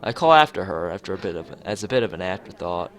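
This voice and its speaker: A man's voice: deep voice, very animated, fast, a bit bright. A man streaming a visual novel, reading it aloud with casual, rambling asides and the odd stumble over lines. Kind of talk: let's play read-aloud with casual asides.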